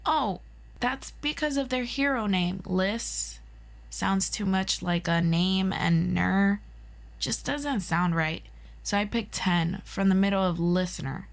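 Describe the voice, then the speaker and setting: A woman's voice: mid-range voice, takes it slowly, creaky. A woman voicing an eager, protective young hero, a loyal and earnest friend, in an audio roleplay. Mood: cheerful.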